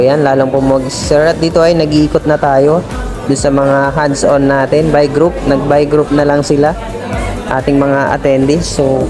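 A man who trains barbers, narrating his vlog in a relaxed way with many fillers. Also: a little high.

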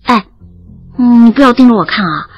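Flustered, lively young female student. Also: fairly high pitch, normal speed, muffled recording, soft background music. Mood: embarrassed, teasing. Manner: stammering when flustered. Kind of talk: first-person audio drama narration and dialogue.

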